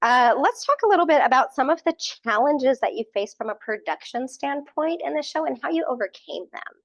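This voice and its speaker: A woman hosting a community theater podcast, asking measured, friendly questions with many "um"s and "you know"s. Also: high-pitched, animated. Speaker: podcast host. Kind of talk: casual podcast interview.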